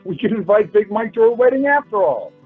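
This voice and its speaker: A man acting a flustered, forgiving young suitor in a radio play, halting and gentle. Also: high-pitched, sing-song, husky, full character voice. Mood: relieved, fond. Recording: tinny narrow-band audio, faint music.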